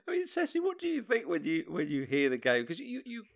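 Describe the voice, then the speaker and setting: A man hosting a football podcast, chatting casually in a halting way with many restarts. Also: sits high, huge pitch swings. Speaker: podcast host. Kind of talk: casual chat.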